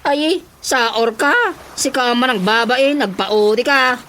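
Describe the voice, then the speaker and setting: A woman's voice: fairly high pitch, a little slow, heavy background noise. A woman playing an eager, pushy village woman with an Ilocano accent in a radio comedy drama, speaking insistently.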